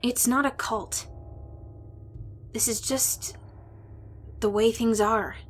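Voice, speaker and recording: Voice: mid-range voice, steady tone, takes it slowly, faint music behind, voice pushed up, lightly acted. A narrator voicing a devout, earnest teenage village girl, solemn and sincere, in an audiobook. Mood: defensive. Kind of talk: audiobook character voice.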